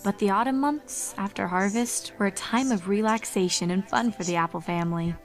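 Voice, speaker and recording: A woman's voice: mid-range voice, brisk. Woman, mid-range voice, scripted dramatic narration, storytelling, background music. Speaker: fan fiction narrator. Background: music under the reading.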